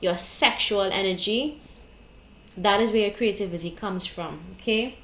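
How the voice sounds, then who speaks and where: A woman's voice: mid-range voice, normal speed, fairly bright tone. Trinidadian woman, tarot reader, blunt chatty advice, echoey room. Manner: blunt, chatty, frequent "okay?" and "right?" check-ins. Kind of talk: casual spiritual advice monologue.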